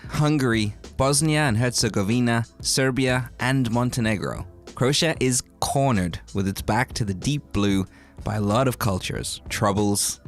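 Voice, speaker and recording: A man co-hosting a podcast, joking casually and reading short narrated bits. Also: medium pitch. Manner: joking, reads narration segments. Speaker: podcast co-host. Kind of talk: casual chat.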